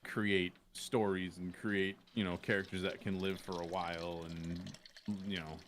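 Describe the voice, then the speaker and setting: A man with a fairly low voice chats casually as a guest on a gaming podcast. His talk is loose and profane, with faint noise in the background. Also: little pitch movement, a little slow, fairly bright tone. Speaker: podcast guest, roleplay streamer. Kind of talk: casual chat.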